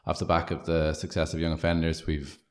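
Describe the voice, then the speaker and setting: A man who is a film producer, answering interview questions in a relaxed, rambling way with many fillers. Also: extremely low pitch, brisk.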